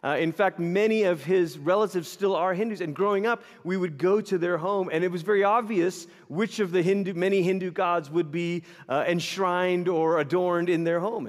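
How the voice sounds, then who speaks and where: A man from Malaysia preaching a sermon in a church, telling animated, self-deprecating stories with humor. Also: high voice. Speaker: pastor. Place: church sanctuary. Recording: long reverb of a large hall.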